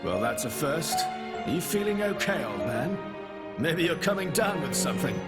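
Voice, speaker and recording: A man with a British accent playing a cocky, teasing prince in a video game, flippant and expressive. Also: on the high side, normal speed. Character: cocky, teasing adult prince with soft heart. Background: loud theme music.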